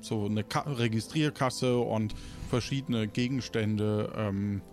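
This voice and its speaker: A man's voice: lowish voice, steady tone, slow pace, darkish tone, soft background music. A man narrating an improvised radio play as game master, hesitantly searching for words.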